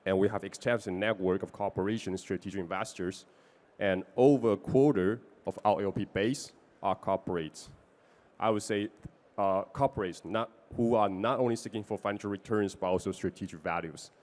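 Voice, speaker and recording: Male investor, Taiwanese accent, lowish voice, giving a business pitch in non-native English. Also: normal speed, a little mellow. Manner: non-native English with small grammar slips. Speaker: venture capital investor presenting his fund.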